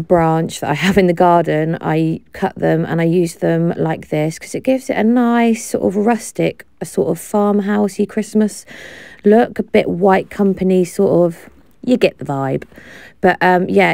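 Woman, mellow low voice, chatty and relaxed walking viewers through chores. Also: creaky, dry recording. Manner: relaxed and chatty, explains steps with frequent fillers. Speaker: home cleaning vlogger. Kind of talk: casual voice-over narration.

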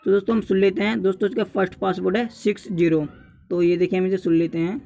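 A man's voice: high voice, flat delivery, fast talker, gravelly, warm tone. A young man narrating a casual tutorial, repeatedly urging and addressing his viewers as friends. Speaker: tutorial YouTuber.

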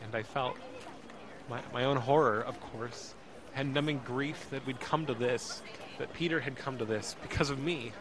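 An actor playing an anxious, emotional young man who narrates his ordeal in an audio drama, intense and halting. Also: medium pitch, background noise, close to an everyday voice. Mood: weary, anguished. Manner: intense, halting, repeats words.

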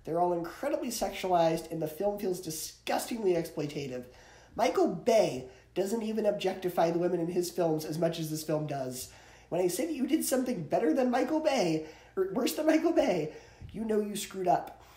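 High-voiced young man, mellow, roomy sound. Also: expressive, normal speed. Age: young adult. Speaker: movie review vlogger. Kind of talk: ranked-list video review, opinionated commentary. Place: small indoor room at home. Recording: roomy indoor echo.

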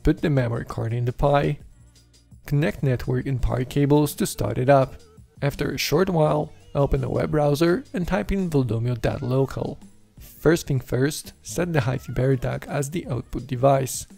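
Male tech presenter for scripted tutorial voice-over, noticeable vocal fry, music bed underneath. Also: mid-range voice, normal speed, warm tone. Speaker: tech video host. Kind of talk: scripted how-to voice-over narration. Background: background music.

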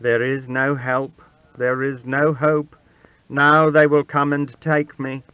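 A man's voice: medium pitch, little pitch movement, slow pace, clean tone, no room sound, voice pushed up, natural voice. A man reading aloud and voicing a frightened poor boy who pleads and stammers in old-fashioned speech. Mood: despairing. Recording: dull lo-fi dry recording.

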